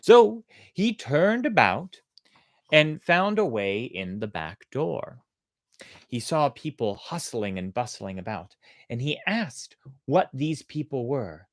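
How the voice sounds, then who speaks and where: An expressive storyteller narrates at a slow, deliberate pace with very lively intonation. The delivery is theatrical, and the sound is close and dry. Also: medium pitch, no room sound. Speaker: storyteller, host.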